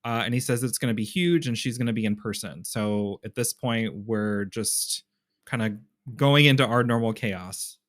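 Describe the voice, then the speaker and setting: A man co-hosting a fan podcast, chatting in an animated, gushing way. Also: on the low side, normal speed, dry recording, clean studio sound. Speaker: podcast co-host. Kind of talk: casual chat.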